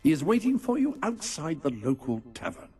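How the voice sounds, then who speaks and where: An actor playing a deferential, proper servant in a video game, speaking formally, politely and precisely. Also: male voice, on the high side, sing-song, normal speed, darkish tone, soft background music. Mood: pleased, respectful. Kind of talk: dramatic video game cutscene acting.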